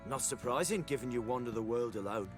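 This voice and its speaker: An actor playing an earnest, approving village companion in a video game, plain-spoken and rustic. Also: male voice, mid-range voice, fast, over music, close to an everyday voice. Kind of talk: dramatic game acting.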